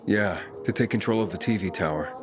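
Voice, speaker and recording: An actor playing a gruff, guarded young male survivor in a video game, terse, blunt and suspicious. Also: fairly low pitch, brisk, close to an everyday voice. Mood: blunt, defensive. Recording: loud music behind the voice.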